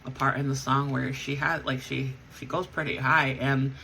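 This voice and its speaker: Bright-toned woman with vocal fry. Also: a bass voice, little pitch movement, moderate pace, slight background noise, poor audio. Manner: rambling, with frequent fillers and self-corrections. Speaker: vlogger and band singer. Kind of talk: casual chat while getting ready.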